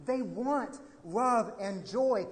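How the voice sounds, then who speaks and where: A fairly high-voiced American pastor in his thirties preaches from a pulpit microphone. He moves from hushed, reflective lines to emphatic appeals. His voice carries the long reverb of a church hall. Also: drawn-out words. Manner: animated, gesturing, swings from quiet to emphatic. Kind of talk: sermon.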